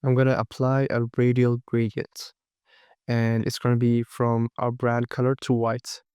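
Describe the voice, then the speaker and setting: A man with a cold walking through a design tutorial, unhurried and thinking aloud, his voice sometimes cracking. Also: mid-range voice, completely flat delivery, a little mellow, clear, resonant tone, no room sound, clean studio sound. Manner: unhurried, thinking aloud with frequent fillers and restarts. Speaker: design course instructor. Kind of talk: screen-recorded software tutorial.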